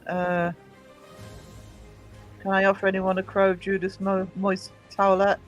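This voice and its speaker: A woman's voice: medium pitch, completely flat delivery, a little slow, muffled recording, soft background music. A woman hosting a live stream at a desk mic, chatty and full of fillers, coughing from hay fever with her voice going. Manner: chatty, greets chat by name, lots of fillers and restarts. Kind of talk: casual live stream chat. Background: quiet self-made tune playing.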